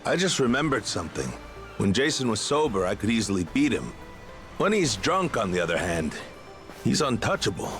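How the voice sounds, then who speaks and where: An actor playing a genial, nostalgic middle-aged treasure hunter turned pirate in a video game, with a folksy, wry, measured way of talking. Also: male voice, on the low side, very animated, fairly bright tone, soft background music. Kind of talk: dramatic video game voice acting.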